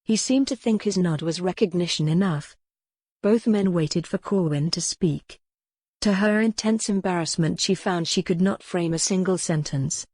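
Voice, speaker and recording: A woman's voice: on the low side, normal speed, darkish tone, slightly rough, no room sound. A woman reading scripted narration from a historical romance novel as an audiobook narrator.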